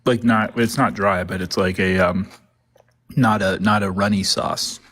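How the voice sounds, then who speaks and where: A low-voiced man co-hosts a debate stream with a playful, rambling manner. He drifts into goofy asides while bantering with the host. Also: steady tone, a little slow, a bit bright. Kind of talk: casual banter.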